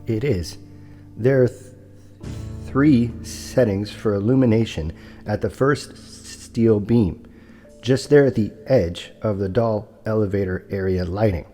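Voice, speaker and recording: An actor playing a formal, deferential property broker who stutters, in an audio drama. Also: male voice, lowish voice, unhurried, dark tone, soft background music. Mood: formal, measured. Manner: formal, precise, apologetic.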